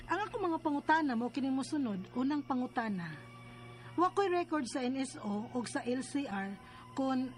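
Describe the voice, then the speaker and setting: Radio drama role: distressed young mother, Cebuano accent, high-pitched, earnest, over a music bed. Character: troubled young mother Eileen, confused and pleading. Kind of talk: radio drama acting and letter narration. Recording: music behind the voice.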